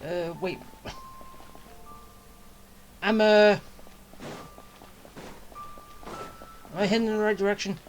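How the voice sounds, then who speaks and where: A woman streams a game with casual commentary. She talks slowly and haltingly, working things out aloud. Her pitch sits in the middle. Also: steady tone, soft background music, poor audio.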